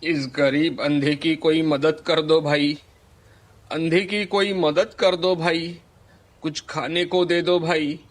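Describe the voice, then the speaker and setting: A man playing a humble, pitiful blind beggar in a cartoon moral story, pleading slowly. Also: fairly high pitch, fairly even intonation. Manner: slow, pleading.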